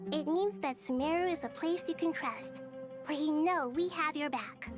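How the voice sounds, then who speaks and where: An actress playing a gentle, wise little girl ruler in a video game, with courteous and formal phrasing. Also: sits high, normal speed, over music, natural voice. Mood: caring, reassuring.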